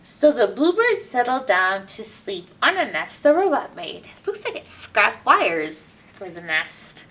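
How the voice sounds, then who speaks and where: Slow, animated woman reading to children. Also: a little high. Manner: expressive, asks listeners questions. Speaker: library storytime reader. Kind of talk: read-aloud picture book storytelling for children, with asides. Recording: distant mic with background noise.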